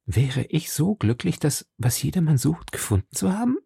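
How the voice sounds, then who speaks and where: A man's voice: on the low side, sing-song, moderate pace, creaky, darkish tone, slightly rough, no room sound, studio quality, heavily acted. A man voicing a bold, hot-headed young male swordsman, impulsive and commanding. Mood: tense murmur.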